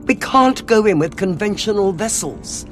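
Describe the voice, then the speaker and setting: An actress playing a brisk, haughty military cardinal in a science fiction audio drama. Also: female voice, mid-range voice, lively intonation, soft background music, natural voice. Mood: businesslike, resolute. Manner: commanding, clipped, haughty. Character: brisk, imperious female alien cardinal, military commander.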